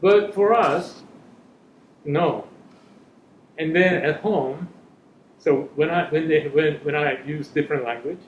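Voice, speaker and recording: Man, middle-aged, fairly high voice, earnest panel talk, echoey room, muffled sound. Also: slow, pauses often, a little hiss or hum. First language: Korean. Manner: earnest, searching for words in a second language. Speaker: panelist, parent. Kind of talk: panel discussion. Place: school hall or room.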